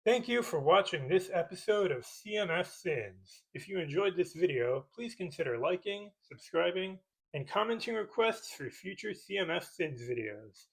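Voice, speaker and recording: A man with a fairly high voice hosts a scripted comedic commentary. He sounds sarcastic and pedantic, picking at each point as he reads. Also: animated, normal speed, creaky, husky, low-quality recording. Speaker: host of a movie-criticism parody video.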